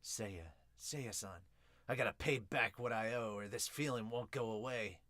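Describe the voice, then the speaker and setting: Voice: mid-range voice, normal speed, fairly bright tone, dry recording, voice pushed down, close to an everyday voice. A podcaster voicing a regretful young male baseball player who speaks in a subdued, self-reproachful way. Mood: brooding regret.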